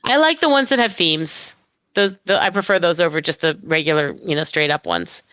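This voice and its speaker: A woman's voice: low-pitched, sing-song, fairly quick, a bit bright, no room sound, lo-fi sound. A woman hosting a livestream in casual chat. She talks in a chatty, enthusiastic way. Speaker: livestream host.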